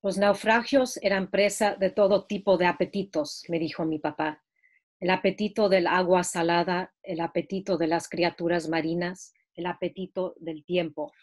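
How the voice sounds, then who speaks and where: Low-voiced woman reading her prose. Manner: steady, measured reading. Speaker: writer reading from her book. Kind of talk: reading aloud from her own book. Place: at home. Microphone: video call.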